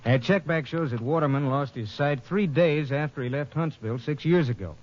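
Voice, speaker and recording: A man playing a fast-talking deputy. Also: a little high, lightly acted. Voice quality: brisk, businesslike. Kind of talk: 1950s radio drama acting. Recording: dull lo-fi old radio recording.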